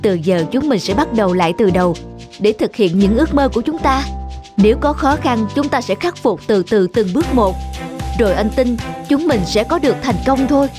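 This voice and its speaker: An earnest young man from a cartoon drama, voiced by a woman. He speaks with heartfelt resolve in a fairly high voice. Music plays clearly behind him. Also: expressive, fairly quick, natural voice. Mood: apologetic, hopeful.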